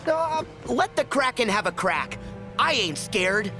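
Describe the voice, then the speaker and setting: A man's voice: very high-pitched, very animated, unhurried, over music, full character voice. A man playing a plucky, cocky, good-natured teenage boy hero in a video game, talking in a casual, boyish way. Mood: bold.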